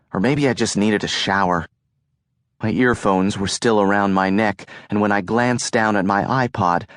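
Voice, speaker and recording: A man narrating an audiobook in the first person, telling the story in an earnest, measured way. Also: fairly low pitch, no echo, clean studio sound.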